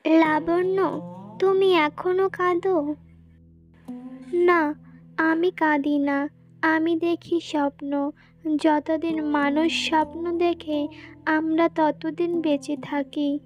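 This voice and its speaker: An earnest young fairy girl voiced by a woman from a cartoon fairy tale. The voice is extremely high and childlike, speaking slowly with wide-eyed sincerity over faint music. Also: level tone, dull audio. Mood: gentle, wistful. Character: earnest young girls and fairies of a magic forest. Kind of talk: animated fairy-tale dialogue.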